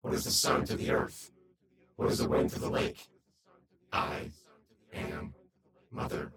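A man voicing an ancient, seductive female entity in a hushed, breathy whisper for an audiobook. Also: extremely low pitch, completely flat delivery, a little slow, voice pushed down. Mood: hushed, coaxing. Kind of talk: audiobook voice acting.

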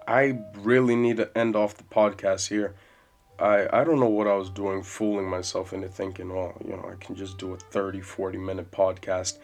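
A man in his twenties hosting a solo podcast, rambling casually and swearing freely in a loud voice with bass. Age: 20s. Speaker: podcast host. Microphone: podcast mic. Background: soft jazz music.

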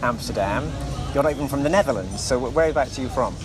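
Male podcast host, lively pitch, casual questions; heard from off-mic with music behind. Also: fast. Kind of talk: interview questions.

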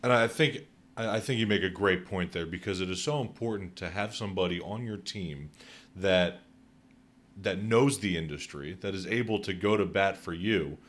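A man's voice: deep voice, moderate pace, fairly bright tone. A man hosting a casual interview podcast, a realtor who asks questions with upbeat affirmations.

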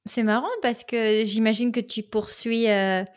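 A woman's voice: fairly high pitch, fairly even intonation, measured pace. A woman hosting a podcast who asks casual follow-up questions and jumps in with short reactions. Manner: interjects with short reactions and laughs. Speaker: podcast host.

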